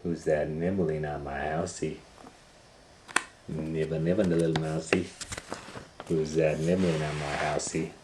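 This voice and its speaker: A man's voice: rumbling low, flat delivery, unhurried, long pauses. A man voicing a sly storybook witch lady, calling out in a sing-song rhyme. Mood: sly, teasing. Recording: roomy echo, faint background noise.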